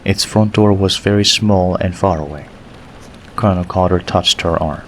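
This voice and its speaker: A man's voice: low-pitched, moderate pace, slight background noise. A man narrating an audiobook story in a scripted reading style.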